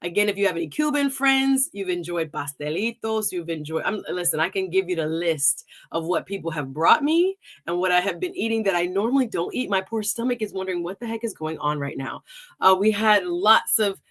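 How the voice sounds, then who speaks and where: A woman's voice: on the low side, expressive, fairly quick, a bit bright. Upbeat woman hosting a talk show. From Jamaica. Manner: chatty, upbeat, laughs often. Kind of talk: live-stream talk show intro.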